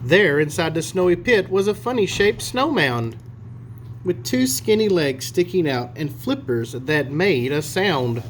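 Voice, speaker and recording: A man reading a picture story aloud to children in an expressive storytelling style. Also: on the high side, a little slow, a little hiss or hum. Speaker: storybook reader.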